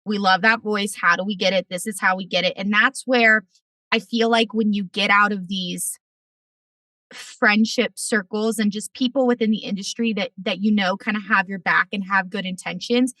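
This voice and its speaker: A woman podcast host with a raspy voice, talking in an outspoken, emphatic way in casual conversation. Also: medium pitch, fairly even intonation, normal speed, sharp tone, no echo, far from the mic. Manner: outspoken, swears freely, emphatic.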